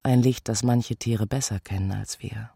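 An actress playing a contemplative, sleepless creature of the night in a poetic radio play, with hushed, deliberate delivery. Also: female voice, a bass voice, steady tone, gravelly, breathy edge, no room sound, studio quality, exaggerated voice. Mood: contemplative, grave.

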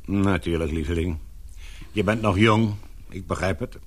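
A middle-aged man playing a concerned father in authority in a radio drama, coaxing and questioning in a husky voice. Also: low-pitched, moderate pace. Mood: soothing.

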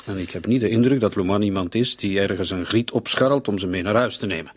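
An actor playing a shrewd, authoritative police commissioner in a radio drama, deliberate and commanding. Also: male voice, lowish voice, breathy edge. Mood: measured, probing. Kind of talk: radio drama acting in dialogue.

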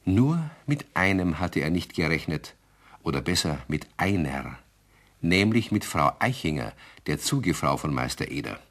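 A man narrating a children's radio play as a genial, expressive storyteller. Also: low voice, moderate pace, exaggerated voice. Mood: amused, genial.

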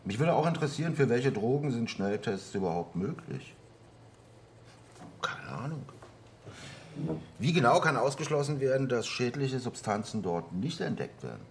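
A man's voice: mid-range voice, very animated, moderate pace, pauses often, a little hiss or hum. An actor playing a stern, sceptical conservative male politician, speaking formally and pointedly in a radio drama parliamentary debate.

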